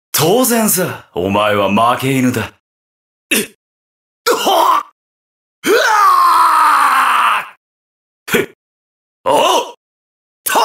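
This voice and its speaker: An actor playing a ruthless, mocking undead armored mercenary villain in a video game, with a taunting, theatrical delivery. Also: male voice, medium pitch, fairly bright tone. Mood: battle fury. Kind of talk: video game battle voice acting.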